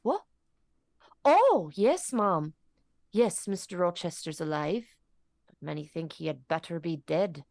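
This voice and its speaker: A woman's voice: fairly low pitch, expressive, unhurried, pauses often, darkish tone, no room sound, clean studio sound. A woman playing a gossipy, deferential servant who tells grim news with dramatic relish in a radio play. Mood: grave. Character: gossipy, dramatic old-time English local servant witness.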